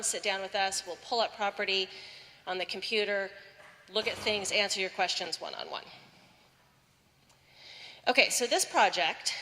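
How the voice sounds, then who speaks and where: A woman with a loud voice giving a brisk, friendly informational presentation as city planning staff at a neighborhood meeting. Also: medium pitch. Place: community meeting room. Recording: reverberant meeting hall, faint room noise.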